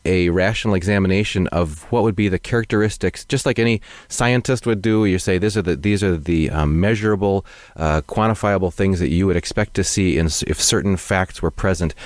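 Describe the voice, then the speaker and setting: A male host welcoming a guest and asking long, measured questions, often pausing with fillers. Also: sits low, dry recording. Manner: measured, wordy, many fillers. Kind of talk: interview hosting.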